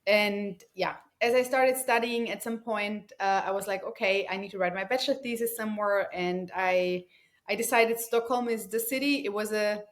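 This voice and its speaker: A woman's voice: mid-range voice, moderate pace. A woman in her thirties from Germany, a guest talking casually and eagerly, with frequent fillers. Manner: chatty, frequent fillers and restarts, enthusiastic. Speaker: guest, company founder. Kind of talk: casual conversational interview.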